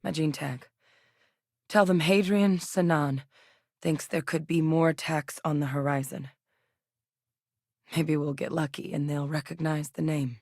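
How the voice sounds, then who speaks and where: A composed, principled scientist officer in a video game, her voice sitting low and even. She talks in a measured, earnest, direct way, close on the mic in a dry studio. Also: no echo. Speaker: game companion character. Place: recording studio.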